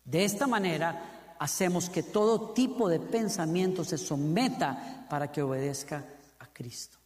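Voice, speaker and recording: A man's voice: on the high side, a little slow. A man from Costa Rica with a Costa Rican Spanish accent, preaching a sermon, asking the congregation questions and building to fervent emphasis with repeated phrases. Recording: long hall reverb.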